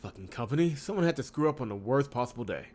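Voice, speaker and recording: Man, mid-pitched voice, chatty game-streamer host, expressive story reading, wry commentary. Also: quick pace. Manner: chatty, expressive, wry asides, laughs through speech. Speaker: host of a visual novel playthrough channel. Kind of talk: casual let's-play commentary with read-aloud narration.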